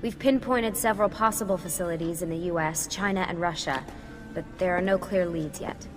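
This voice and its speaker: A woman's voice: on the low side, natural voice. Businesslike woman team leader played by an actress, echoing. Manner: matter-of-fact, brisk. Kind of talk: video game voice acting. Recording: echoey hall, music behind.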